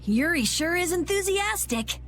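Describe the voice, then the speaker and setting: A woman's voice: extremely high pitch, expressive, unhurried, fairly bright tone, a little hiss or hum, exaggerated voice. A woman playing a composed, observant young adventurer in a video game. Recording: music under the voice.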